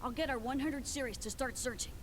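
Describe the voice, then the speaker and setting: A woman playing a brisk, blunt, exasperated take-charge character in a video game. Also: high voice, slight background noise, lightly acted. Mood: exasperated, resolute. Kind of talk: video game cutscene acting.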